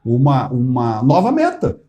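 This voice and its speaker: A man speaks as a guest on a talk show. He explains at length, hesitating with fillers and restarts, then pushing his points home with emphasis. His pitch moves a lot and his tone stays mellow and warm. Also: wildly swooping pitch, a little slow. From Brazil. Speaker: society treasurer, guest.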